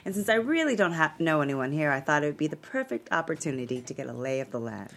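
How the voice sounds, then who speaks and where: Poised, powerful female TV host played by an actress for a script read; lowish, very animated delivery, playful emphasis. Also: fast, full character voice. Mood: gracious, chatty. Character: famous female talk show host, poised, commanding, playful. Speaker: actor at a script table read. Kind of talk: dramatic screenplay table read.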